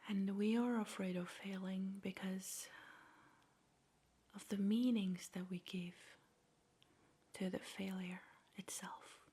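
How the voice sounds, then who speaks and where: A woman speaking in a soft, breathy whisper, slowly and gently guiding a meditation in an ASMR style, with measured pauses. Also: mid-range voice, steady tone.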